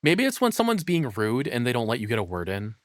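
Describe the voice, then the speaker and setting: A man's voice: medium pitch, huge pitch swings, fast talker, dry recording. Dry, close-miked man rambling in casual reaction. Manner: sweary, rambling, thinking aloud. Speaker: streamer reacting to a video.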